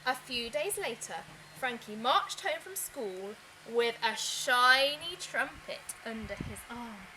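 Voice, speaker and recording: A woman reading a children's storybook aloud in an expressive, deliberate way, with dramatic emphasis. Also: a little high, background noise. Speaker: teacher reading a picture book aloud.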